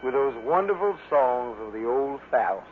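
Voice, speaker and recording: Tinny vintage disc jockey over music. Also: male voice, medium pitch, animated, unhurried. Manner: syrupy, mellow crooning talk. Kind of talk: radio music show patter. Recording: tinny vintage radio recording.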